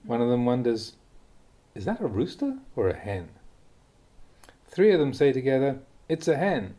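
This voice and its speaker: Guy reading dhamma talk audiobook style, warm relaxed voice, pitch moves around a lot, deliberate phrasing. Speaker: reader of Buddhist teachings.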